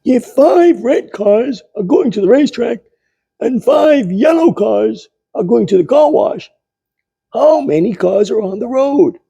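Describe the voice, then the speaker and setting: A man voicing an upbeat, encouraging cartoon cat schoolboy for a storybook, in a cheerful, coaxing high voice. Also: animated, unhurried, gravelly, dark tone, breathy edge, heavily acted. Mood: quizzing.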